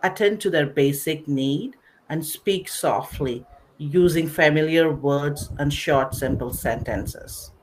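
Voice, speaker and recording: A woman presenting a slide-based nursing lecture, explaining in short phrases with pauses in a didactic way. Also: sits low, a little mellow, slight background noise, rough recording. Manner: didactic, recapping, pausing between short phrases. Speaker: webinar presenter, nursing educator.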